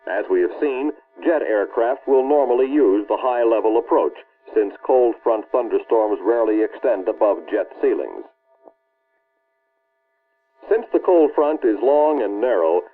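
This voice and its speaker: Muffled vintage American training-film narrator, male. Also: mid-range voice. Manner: formal, authoritative, measured. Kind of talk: scripted instructional narration, early 1960s military film. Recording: muffled, band-limited old film soundtrack.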